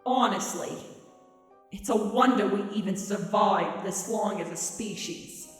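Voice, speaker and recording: A woman's voice: medium pitch, unhurried, soft background music, lightly acted. A woman voicing a sarcastic, exasperated young female changeling, an insect-like pony creature, in an audio drama with a whiny, emphatic delivery. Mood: weary sarcasm. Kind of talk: audio drama fan reading.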